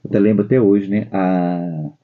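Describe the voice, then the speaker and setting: A man speaking as a guest in a casual conversation in a spiritist center, halting and repeating words as he remembers. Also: low voice, steady tone, narrow, tinny audio.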